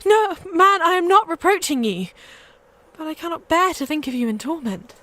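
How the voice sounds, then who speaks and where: A woman's voice: very high voice, big pitch swings, normal speed, a bit bright, no echo, exaggerated voice. An actress playing an earnest young peasant woman saint in a radio play, speaking with direct intensity. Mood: impassioned. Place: actor's home.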